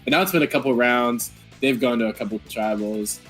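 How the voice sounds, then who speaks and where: Fast-talking young sports writer. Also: male voice, mid-range voice, a bit bright, faint music behind. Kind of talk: casual confessional about game strategy.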